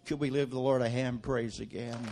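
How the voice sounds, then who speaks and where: A man preaching in a church at a middle pitch. His stories are quiet and conversational, and his prayers grow loud and fervent. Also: fairly even intonation, a little fast, slight background noise. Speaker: guest preacher. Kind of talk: sermon.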